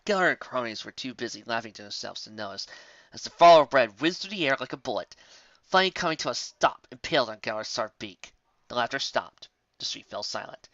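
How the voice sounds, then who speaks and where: A woman's voice: very deep voice, expressive, brisk, a bit bright, dry recording. A woman narrating a fantasy story in a dramatic reading style, on a slightly dull recording. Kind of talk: dramatic reading of fan fiction.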